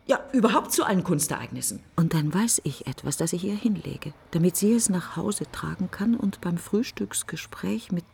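A woman's voice: fairly low pitch, lively intonation, moderate pace, creaky, hardly any pauses, darkish tone, a little hiss or hum. An actress playing a grieving, self-accusing woman who broods in fragmented questions in a literary radio play monologue. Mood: self-reproachful. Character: grieving, self-accusing woman mourning her best friend.

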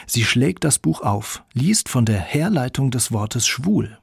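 A man's voice: medium pitch, expressive, slow pace, vocal fry, husky, no echo, studio quality. A man reading scripted narration as the narrator of a radio documentary.

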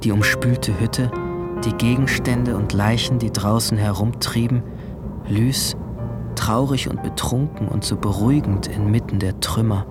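A young man playing a brooding, guilt-haunted seventeen-year-old boy, narrating his story in measured, introspective short sentences in a radio drama. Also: fairly low pitch, steady tone, close to an everyday voice. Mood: wistful, numb. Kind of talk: literary first-person radio drama narration. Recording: music bed behind the voice.

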